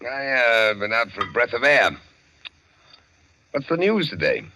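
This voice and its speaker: Uneasy psychologist, animated pitch. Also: male voice, a little slow, exaggerated voice. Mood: guarded, thoughtful. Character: reserved, uneasy American psychologist, analytical man. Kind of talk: 1940s radio drama acting. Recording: muffled old radio recording.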